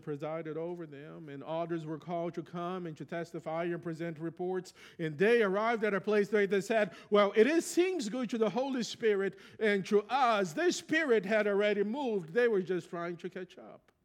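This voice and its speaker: Pastor: man, Brazilian accent, native Portuguese, fairly high voice, conversational preaching with personal stories. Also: moderate pace, hardly any pauses. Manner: reflective storytelling, conversational. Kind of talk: sermon.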